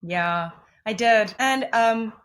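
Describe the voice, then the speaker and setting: A woman's voice: on the high side, slow, a bit bright. A Namibian woman whose first language is Afrikaans, a podcast guest explaining things at length with many restarts and self-corrections. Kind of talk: conversational interview.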